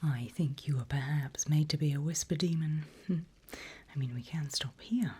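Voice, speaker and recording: Breathy whispering woman as sly demon guide. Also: sits low, warm tone. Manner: slow, deliberate, playful menace. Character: seasoned female demon mentor, sly and teasing. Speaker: ASMR roleplay performer. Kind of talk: whispered ASMR roleplay. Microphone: close ASMR microphone.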